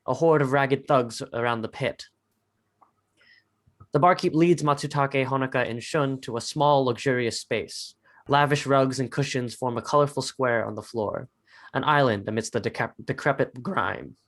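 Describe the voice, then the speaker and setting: A man with a medium-pitched voice narrating stage directions in a dramatic script reading.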